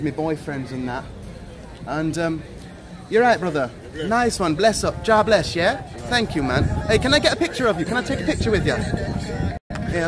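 A young man streaming live on a city street, joking and chatting with strangers on a handheld camera. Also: fairly high pitch. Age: young adult. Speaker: IRL streamer. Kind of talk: casual street livestream banter. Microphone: handheld camera at arm's length. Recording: outdoor street noise.

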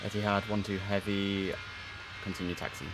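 A young man streaming a tower simulator, giving crisp controller calls between chatty asides. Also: fairly low pitch, flat delivery, normal speed. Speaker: streamer playing air traffic controller. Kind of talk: gameplay commentary with radio phraseology. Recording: noisy game stream audio.

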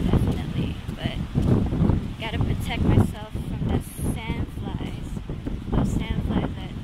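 A young woman from Sarawak vlogging on a beach, chatty and giggly, filming herself on a phone held at arm's length. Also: mid-range voice. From Sarawak, Malaysia. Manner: chatty, giggly, self-mocking. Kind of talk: casual vlog chat. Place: windy beach outdoors. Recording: loud wind noise on the mic.

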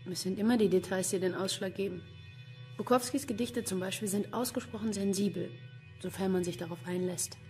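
An actress playing a sharp, unimpressed female police investigator with a dry manner in a crime radio play. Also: mid-range voice, steady tone, brisk, faint music behind, lightly acted. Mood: wry. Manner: dry, matter-of-fact, wry. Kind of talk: German crime radio drama acting.

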